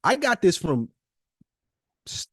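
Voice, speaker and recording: A man's voice: sits high, huge pitch swings, slow, fairly bright tone, breathy edge, dry recording, studio quality. A man co-hosting a podcast in casual debate, insistent and slangy, repeating his points for emphasis. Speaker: podcast co-host.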